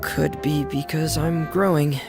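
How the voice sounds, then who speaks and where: A woman voicing a shy, reserved young teenage boy in a video game, hesitant, halting and soft-spoken. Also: sits low, fairly even intonation, over music. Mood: evasive, nervous.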